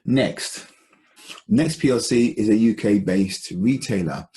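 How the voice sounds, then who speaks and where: A man presenting an informal stock analysis as host of an investment call, explaining in a halting, deliberate, conversational way. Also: lowish voice, dark tone.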